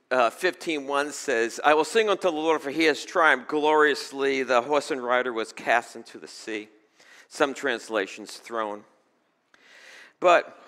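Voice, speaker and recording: A middle-aged man from New York with a New York accent, preaching a sermon at a church pulpit and moving between fervent and conversational delivery. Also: medium pitch. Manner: rises to loud fervent preaching then drops to easy chat. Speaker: pastor. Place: church stage before a seated congregation. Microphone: stage mic at a pulpit. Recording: long hall reverb.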